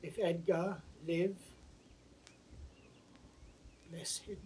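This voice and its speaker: An older man playing a blinded old nobleman, grave and despairing, in solemn, measured classical Shakespearean stage acting. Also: a little high, level tone, mellow, lightly acted. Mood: anguished resignation. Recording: distant, noisy 1960s studio drama recording.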